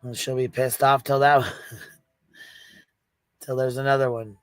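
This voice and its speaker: A man's voice: mid-range voice, level tone, takes it slowly, dry recording. A man hosting a casual livestream panel, talking bluntly with some profanity.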